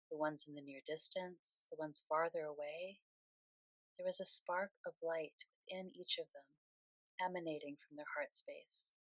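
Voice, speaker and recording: A woman leading a guided meditation in a soothing, unhurried way, speaking phrase by phrase with pauses. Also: lowish voice, fairly bright tone, dry recording, low-quality recording.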